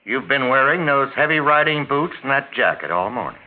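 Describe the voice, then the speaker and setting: An actor playing a formal, solicitous butler, in 1940s radio style. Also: male voice, mid-range voice, lively intonation, fairly quick, natural voice. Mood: soothing. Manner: formal, servile. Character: deferential, solicitous male butler. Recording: narrow tinny 1940s radio broadcast.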